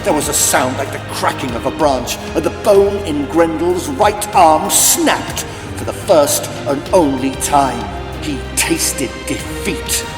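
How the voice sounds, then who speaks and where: A man's voice: on the high side, expressive, slow, hardly any pauses. A man narrating a children's audiobook as a dramatic, scripted storyteller. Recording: echoey, long hall-like reverb. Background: music.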